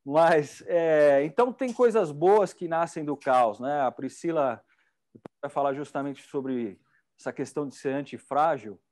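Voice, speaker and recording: A middle-aged Brazilian man hosts from a studio stage on a lapel mic. His voice sits a little high, and he talks in a chatty, enthusiastic way. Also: measured pace, darkish tone. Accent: Brazilian Portuguese. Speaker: event host. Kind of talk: casual hosting chat.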